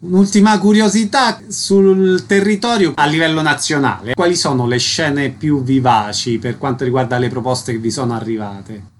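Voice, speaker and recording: Guy doing radio interviews, slightly high voice, reads out intros and questions like they're prepared. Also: fairly even intonation, normal speed, few pauses.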